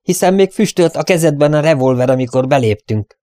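A proud young cowboy deputy in a western storybook, acted out by a male reader. He speaks in a challenging, declamatory way, pitched up and indignant, with some gravel in the voice. The sound is very dry. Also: lively intonation, warm tone, no room sound. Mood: outraged.

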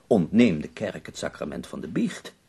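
An actor playing an ailing, bedridden poet in a radio drama, wry and death-weary, speaking theatrically with dramatic pauses. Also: male voice, sits low, sing-song, mellow, exaggerated voice. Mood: sardonic. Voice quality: husky, gravelly.

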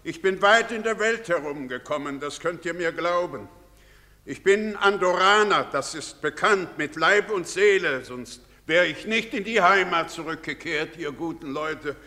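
Pompous, bright-voiced village gentleman. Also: fairly high pitch, expressive, unhurried. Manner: pompous, placating. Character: self-important, worldly, placating village gentleman. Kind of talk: theatrical stage drama acting. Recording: reverberant theatre stage recording.

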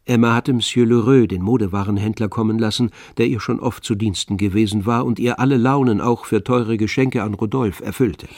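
A man narrating a literary radio drama in a measured, storytelling manner. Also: on the low side, fairly even intonation, darkish tone, no room sound. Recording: dry studio, faint music underneath.

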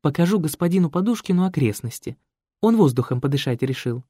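A man's voice: a little high, lively intonation, brisk, mellow, husky, dry recording, studio quality. A man reading a composed, firm young woman, a priest's daughter, telling her story. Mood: commanding. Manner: composed, commanding.

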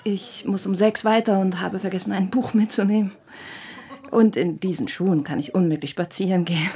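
Sophisticated young society woman, played by an actress, radio drama: mellow medium-pitched voice, coquettish, whimsical, affected. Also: fairly even intonation, a little fast, lightly acted. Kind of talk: naturalistic radio drama acting.

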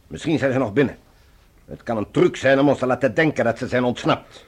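Actor as cold, commanding, husky male ruler. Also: medium pitch, close to an everyday voice. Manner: authoritative, measured, condescending. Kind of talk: science fiction radio drama acting.

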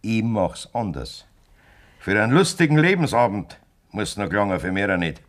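An actor playing a blunt, scheming middle-aged farmer with a Bavarian accent in a German radio play. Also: male voice, fairly low pitch, sing-song, unhurried. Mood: calculating, boastful. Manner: blunt, domineering. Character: hard, scheming farmer demanding a divorce from his wife.

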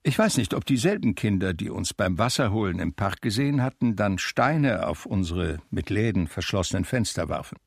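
An actor playing an ageing, melancholy recluse who recalls his life in measured literary radio drama narration with dry irony. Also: fairly low pitch, animated, gravelly, breathy edge, dry recording, exaggerated voice. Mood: wry, reflective.

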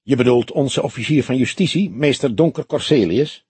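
A man voicing an older police detective for an audiobook, grave and quietly wry, explaining things deliberately. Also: mid-range voice, breathy edge, no room sound, voice pushed up. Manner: deliberate, explanatory, dryly humorous. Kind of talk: audiobook character dialogue.

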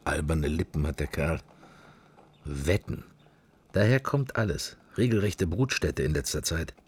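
A man's voice: extremely low pitch, animated, a little slow, husky, full character voice. An actor playing a wry middle-aged everyman musing to himself in clipped fragments in a radio play. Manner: clipped, wandering interior monologue. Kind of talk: intimate literary radio play monologue.

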